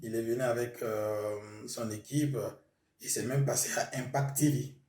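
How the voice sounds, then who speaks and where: Soft-spoken pastor in an echoey room. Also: male voice, mid-range voice, steady tone, moderate pace, distant mic. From Burkina Faso. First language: Mooré. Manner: hesitant, with frequent fillers. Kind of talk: testimony interview.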